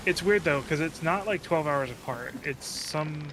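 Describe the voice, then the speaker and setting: A man's voice: on the high side, a little slow, noisy background. A man in casual gaming chat, explaining details to his friends in an informal way.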